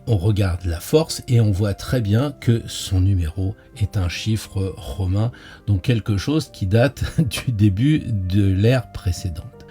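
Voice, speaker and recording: A man's voice: fairly low pitch, warm tone. A man hosting a tarot channel, explaining in a casual, playful way and talking straight to the viewer, with frequent hesitations. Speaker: tarot teacher and channel host.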